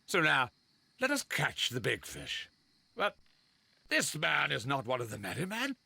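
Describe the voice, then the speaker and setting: A haughty British gentleman in a video game, a man with a raspy, creaky voice. He is pompous and imperious, swooping dramatically in pitch with a forceful edge. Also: wildly swooping pitch, measured pace, dry recording, heavily acted. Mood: condescending. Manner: pompous, condescending.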